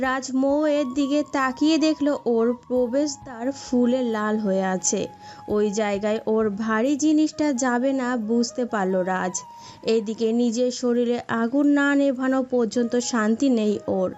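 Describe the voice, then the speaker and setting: A woman's voice: fairly high pitch, normal speed, darkish tone. A woman reading a scripted story as its narrator. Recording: dull muffled sound with music behind.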